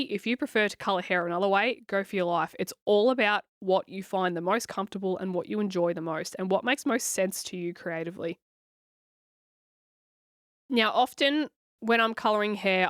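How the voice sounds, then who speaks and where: A woman, her voice hoarse and scratchy from a cold, guides viewers through a coloring tutorial. She talks in a chatty, reassuring way, and the sound is dry and close with no room echo. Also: mid-range voice. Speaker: coloring channel host.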